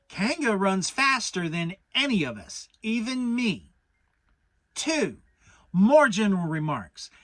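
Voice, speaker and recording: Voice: mid-range voice, a bit bright. A narrator voicing a bossy, self-important male rabbit, pompous and emphatic, in a children's storybook.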